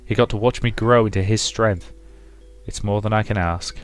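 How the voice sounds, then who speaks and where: Loving but firm young daughter in a visual novel read by a man, measured and tender, lowish, over music. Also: close to an everyday voice. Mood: forgiving. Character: gentle, resolute grown daughter, loving and forgiving. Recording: music behind the voice.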